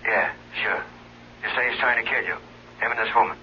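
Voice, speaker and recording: Quick-talking skeptical police detective. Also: male voice, lowish voice, sharp tone. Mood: skeptical, placating. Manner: matter-of-fact, hesitant, placating. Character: brisk, skeptical but polite male police detective. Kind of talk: 1970s radio drama acting. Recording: muffled hissy old radio recording.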